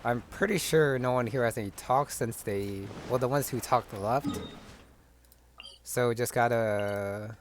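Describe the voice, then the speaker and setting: Male gamer commentator, medium pitch, casual and musing, slight background noise from game ambience. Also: measured pace. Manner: low-key, musing.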